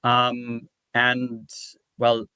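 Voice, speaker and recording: A man lecturing in an academic webinar, explaining slides in a halting, step-by-step way. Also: mid-range voice, monotone, bright, forceful tone, clean tone, no room sound, rough recording. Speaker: academic presenter.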